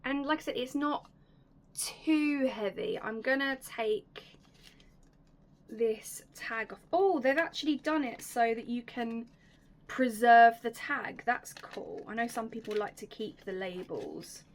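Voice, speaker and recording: A young woman hosting a casual unboxing vlog, chatty and enthusiastic, her voice slightly raspy and going hoarse. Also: on the high side, takes it slowly. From United Kingdom. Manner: chatty, enthusiastic, drops to soft whispers at times. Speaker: YouTube host.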